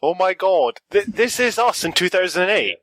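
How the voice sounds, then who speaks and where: Scottish lad chatting with mates, voice jumps around a lot, bright and forceful, dry sound. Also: male voice, sits high, sing-song, moderate pace, no room sound. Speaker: co-host of a group reading show. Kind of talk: casual banter.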